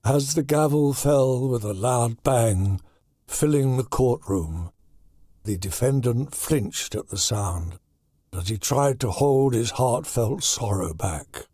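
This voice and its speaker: A man narrating a horror audiobook in a deliberate, dramatic storytelling manner. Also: medium pitch, expressive, dark tone, no echo, studio quality.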